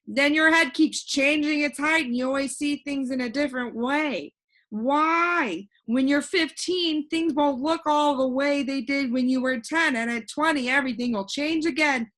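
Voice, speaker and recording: Cheerful storybook boy, played by a woman, proud and lecturing; high-pitched, crisp and bright. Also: moderate pace. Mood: matter-of-fact, amused. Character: cheerful, know-it-all young boy who floats in air. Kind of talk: animated children's storybook reading.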